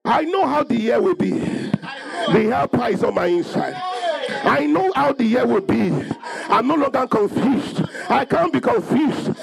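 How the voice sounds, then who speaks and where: High-pitched, creaky-voiced male preacher. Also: big pitch swings, measured pace, over music, poor audio. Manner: emphatic, declarative, repeating phrases for effect.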